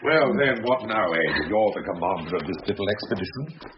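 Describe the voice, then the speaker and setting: An actor playing a sardonic, defeatist British husband in a radio thriller, with a dry, wordy, ironic manner. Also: male voice, on the low side, expressive, normal speed, close to an everyday voice. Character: sardonic, defeatist husband trapped in haunted cottage. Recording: dull noisy radio drama recording.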